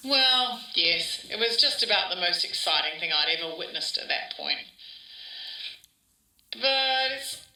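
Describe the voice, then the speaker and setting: A woman's voice: medium pitch, lively intonation, a little slow, pushed and bright, heavily acted. A woman playing an older woman who rambles wistfully through childhood memories in a conversational, interview-style podcast drama. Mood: remembering. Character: wistful older Australian woman reminiscing about her small-town childhood. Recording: roomy echo, distant from mic.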